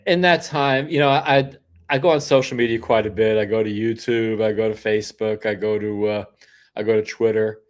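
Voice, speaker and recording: A man's voice: lowish voice, steady tone, normal speed. An older man hosting a solo livestream, rambling through casual commentary with frequent restarts and asides, sniffly from a cold. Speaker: host.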